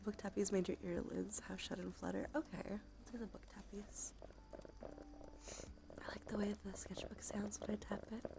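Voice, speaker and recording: A soft-spoken woman chatting on a cozy ASMR livestream, with a creaky voice that often slips into a breathy whisper. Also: mid-range voice, level tone, moderate pace. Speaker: streamer.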